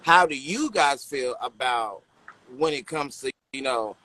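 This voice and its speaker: A man's voice: mid-range voice, lively intonation, unhurried, bright, forceful tone, a little hiss or hum, rough recording. A man in casual podcast chat who rambles and searches for his words as he asks a question. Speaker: podcast co-host.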